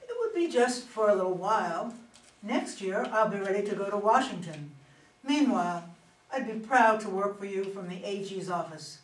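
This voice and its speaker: A woman's voice: mid-range voice, animated, moderate pace, natural voice. A woman playing a smug, ambitious younger female politician in a play reading, self-assured and calculating. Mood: smugly confident. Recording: echoey room, distant, noisy.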